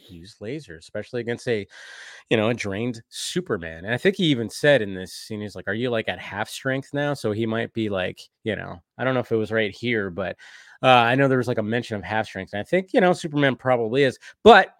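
A man's voice: on the low side, animated, a little fast, dry recording, studio quality. A man co-hosting a casual recap and commentary stream, rambling along with lots of filler phrases as he retells scenes. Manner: rambling, peppered with "like" and "you know". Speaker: co-host of a cartoon episode review stream.

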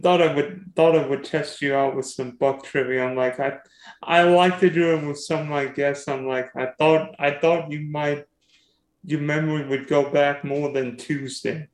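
A man's voice: on the high side, steady tone, normal speed. A man hosting a casual sports podcast, teasing and quizzing his guest. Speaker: podcast host. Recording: roomy echo.

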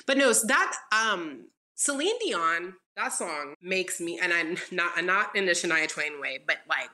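Bright-voiced giggly woman, deep tone. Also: lively intonation, measured pace. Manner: giggly, sings snippets, many restarts. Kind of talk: casual chat.

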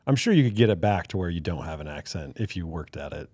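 A young man hosts a podcast in an easygoing, chatty way. His voice is low and mellow. The sound is dry and close. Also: fast, breathy edge, no room sound. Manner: relaxed, chatty, tells anecdotes. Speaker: podcast host. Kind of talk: casual interview chat.

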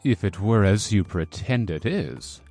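A man's voice: on the high side, wildly swooping pitch, moderate pace, a little mellow, soft background music, pitched above the performer's own voice. A man voicing a royal guardsman who speaks with pompous, affected seriousness, in a dramatized storybook reading. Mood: mock serious.

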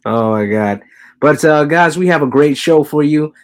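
A man's voice: a little high, expressive, normal speed. A man hosting a casual podcast, teasing his co-hosts and laughing often. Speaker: podcast host.

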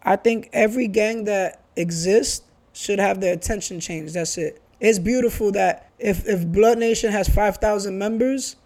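Earnest New man, bright pitch. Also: moderate pace, few pauses. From Bronx, New York. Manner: earnest, restarts and repeats phrases. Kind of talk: casual chat.

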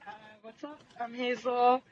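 A woman's voice: on the high side, steady tone, a little slow, darkish tone, a little hiss or hum. Chatty young woman, dull lo-fi audio. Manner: chatty, upbeat, laughs easily. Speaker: band bassist. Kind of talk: casual interview chat.

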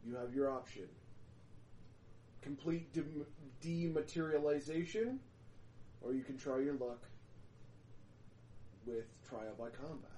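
A man voicing a formal male court official who reads out a verdict in a solemn, lowered voice. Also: animated, slow pace, long pauses, dark tone, reverberant, slight background noise.

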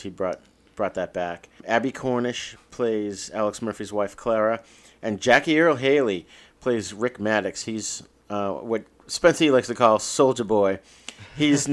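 A man hosting a casual film review podcast, reading credits with joking asides. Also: on the low side. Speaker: podcast host.

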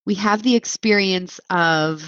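A woman's voice: medium pitch, unhurried, a bit bright, no room sound, muffled recording. A woman in her thirties, a clinician guest, answering interview questions in a reflective, think-aloud way with frequent filler words. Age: 30s.

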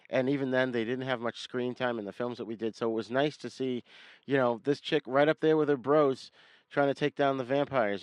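A man's voice: medium pitch, no echo. A man with a New England accent hosting a casual film discussion in a jokey, informal way. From New England, United States. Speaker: podcast host.

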